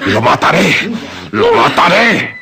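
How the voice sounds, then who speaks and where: An actor playing an enraged, anguished villager in a radio horror drama. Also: male voice, a little high, wildly swooping pitch, slow pace, gravelly, background noise, full character voice. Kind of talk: Spanish radio drama acting.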